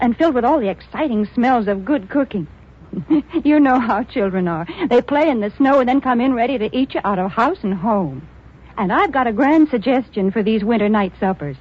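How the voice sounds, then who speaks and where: A woman's voice: a little high, slightly rough. A woman reading a vintage radio commercial in a chirpy, homey, persuasive way. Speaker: commercial announcer. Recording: dull lo-fi old radio recording.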